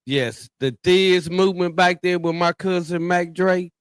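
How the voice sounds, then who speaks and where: A man's voice: sits high, a little slow, clear, resonant tone, dry recording, studio quality. An American man hosting a podcast talk show, chatting casually in slang, effusive and often repeating his phrases. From Tennessee and California's Bay Area, USA.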